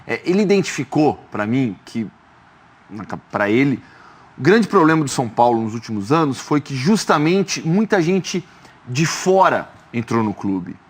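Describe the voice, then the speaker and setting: A man, hosting a studio football debate and asking measured questions. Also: mid-range voice, very animated. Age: 30s. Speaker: sports journalist host.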